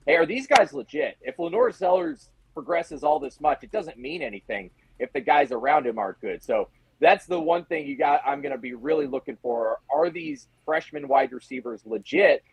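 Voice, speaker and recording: A man's voice: a little high, huge pitch swings, normal speed, gravelly, husky, no room sound, poor audio. A man speaking as a guest sports reporter, giving casual analysis in a conversational way, often voicing rhetorical questions. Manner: conversational, talks in acted-out questions and asides.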